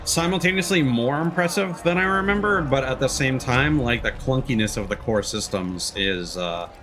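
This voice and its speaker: A man's voice: mid-range voice, moderate pace. A man giving casual let's play commentary as a game streamer, with wry joking asides. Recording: game music underneath the voice. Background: video game music.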